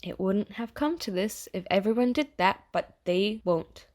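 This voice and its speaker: A woman playing a chatty, falsely friendly AI that lives in someone's mind, coaxing and quietly menacing in an audio theatre monologue. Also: medium pitch, lively intonation, a little slow, close to an everyday voice. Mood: earnest, pressuring. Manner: chummy, casual, coaxing, passive-aggressive.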